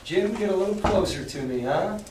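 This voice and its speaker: A cool, scheming pirate captain talks low and unhurried, full of calm insolence, as a man reading a story puts him on. The voice carries room echo and sits back from the mic. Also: a little hiss or hum. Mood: commanding. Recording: echoey room from far off.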